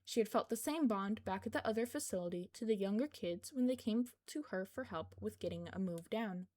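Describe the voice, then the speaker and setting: A woman's voice: medium pitch, fairly quick, darkish tone. A woman reading scripted fiction aloud as narrator of a fan fiction podfic.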